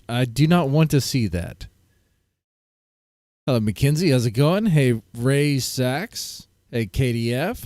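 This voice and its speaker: A laid-back man hosting a livestream, casually greeting viewers and chatting with his panel. He sounds close and dry on a desk mic, at an ordinary middle pitch. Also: a little mellow, dry recording. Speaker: livestream host.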